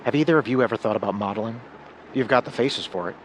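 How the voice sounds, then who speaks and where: An actor playing a smooth-talking, flirtatious predator who poses as a photographer in a true crime radio drama. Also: male voice, medium pitch, fast talker, slight background noise, close to an everyday voice, low-quality recording. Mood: coaxing, flirtatious. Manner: flirtatious, coaxing, self-assured.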